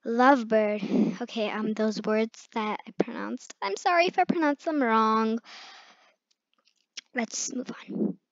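A woman reads a children's storybook aloud in a fairly high voice. She goes slowly and haltingly, and the recording sounds somewhat muffled. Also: a little mellow. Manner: halting, stumbles over hard words. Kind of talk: reading a children's picture book aloud. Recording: muffled, echoey room recording.

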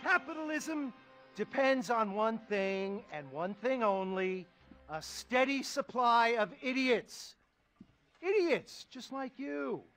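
Pompous high-pitched finance tycoon. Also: male voice, expressive, takes it slowly, a bit bright, full character voice. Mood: grandiose, scornful. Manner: pompous, contemptuous, theatrical. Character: rich, ruthless, cynical male finance tycoon mentor. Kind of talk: satirical video game movie acting. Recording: music under the dialogue.

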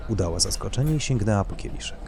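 A male narrator tells a literary story in a fairly low voice. The reading is scripted, part of an audio drama, with clear travel noise underneath. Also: lively intonation, quick pace.

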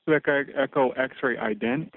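A man's voice: mid-range voice, moderate pace. Male controller on tinny radio. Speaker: air traffic controller. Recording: phone-like dry air traffic radio.

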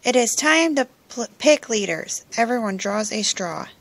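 A woman reading a children's picture book aloud in simple, expressive phrases for young listeners, recorded at home on a phone. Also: fairly high pitch, moderate pace, vocal fry. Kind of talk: children's storybook read-aloud. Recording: noisy home recording with clicks.

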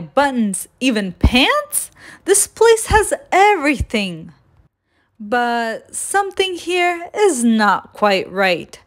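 A woman voicing a cheeky, boastful little gingerbread man in a children's storybook, playful and taunting in sing-song rhyme. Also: on the high side. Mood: curious. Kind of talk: children's storybook read-aloud.

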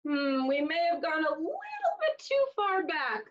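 A woman in her thirties reading a storybook aloud to young children with expressive, exaggerated delivery and dramatic pauses, in a small room. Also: high-pitched. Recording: dull, lo-fi webcam audio.

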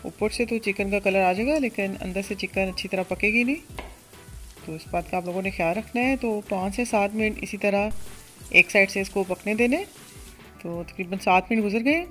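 A woman hosting a cooking channel, narrating a recipe step by step in a steady instructional voice. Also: mid-range voice, normal speed, soft background music. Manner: steady step-by-step instruction, addresses viewers as friends. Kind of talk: recipe voice-over.

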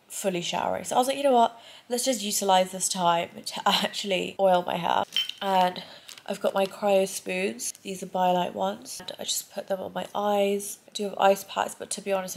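A woman's voice: medium pitch, gravelly, a little mellow. A young woman vlogging her recovery to a close laptop camera, chatty and candid, with a badly congested, stuffy nose. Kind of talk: casual recovery vlog to camera. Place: bedroom, indoors.

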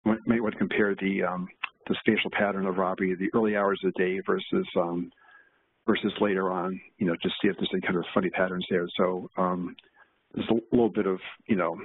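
A man walking through a software demo at a meetup, talking in a halting way with many fillers and restarts. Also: deep voice, flat delivery, slightly rough. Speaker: presenter. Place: meetup room. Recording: tinny, lo-fi meetup recording.

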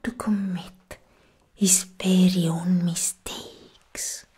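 A woman voicing an imperious, menacing goddess of war in a soft, breathy ASMR roleplay, slow and commanding, close to the microphone. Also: fairly low pitch, little pitch movement, heavily acted. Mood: condescending, menacing. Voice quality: breathy, whispery.

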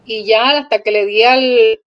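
A woman's voice: on the high side, steady tone, unhurried, highs cut off. A woman in her thirties from Cuba, a guest on a video call who tells her story cheerfully, laughing often and trailing off with fillers. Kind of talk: casual chat, telling her experience. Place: small indoor room. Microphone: video call, laptop or phone camera.

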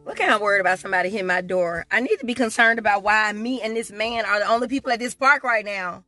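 A woman's voice: medium pitch, quick pace, bright, forceful tone, no room sound, soft background music. A middle-aged woman chatting casually to her viewers, laughing often, filmed with a handheld phone in a car and out in a park. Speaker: vlogger. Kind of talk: casual vlog chat. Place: in a parked car and walking outdoors in a park. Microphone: phone camera held at arm's length.

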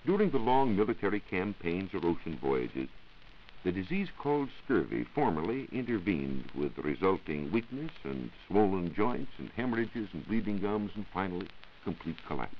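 A man's voice: low voice, very animated, moderate pace, lo-fi sound, slight background noise. An American man explaining formally as a science reporter in a scripted 1940s radio broadcast on an old recording.

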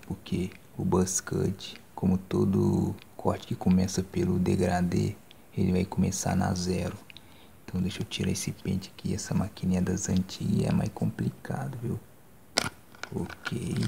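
Brazilian male, 20s, hushed ASMR barber, low-pitched with heavy vocal fry, casual delivery. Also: noisy background. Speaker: ASMR creator playing a barber. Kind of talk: ASMR roleplay, soft-spoken and whispered. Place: small decorated indoor room. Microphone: handheld phone microphone up close.

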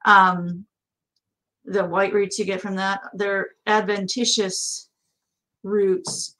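A woman's voice: medium pitch, level tone, slow, a bit bright, low-quality recording. A middle-aged woman with an American accent, co-hosting a casual, conversational question-and-answer chat. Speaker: co-host. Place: small indoor office.

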